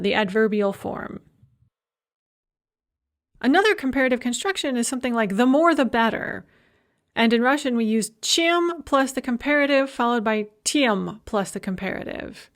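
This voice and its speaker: Higher-voiced woman giving a Russian lecture. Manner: clear and measured, slows down for Russian examples. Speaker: university Russian language lecturer. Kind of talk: recorded language lecture.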